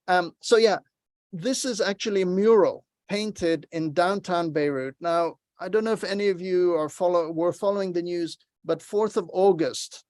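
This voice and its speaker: A man's voice: high voice, darkish tone, no room sound, clean studio sound. A man from Lebanon giving an online workshop talk, earnest and encouraging as he tells a story over a video call. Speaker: workshop presenter.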